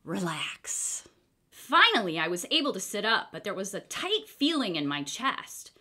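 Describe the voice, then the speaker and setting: A woman reading a children's book aloud in an expressive, theatrical storytelling style. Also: medium pitch, normal speed. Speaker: storybook reader.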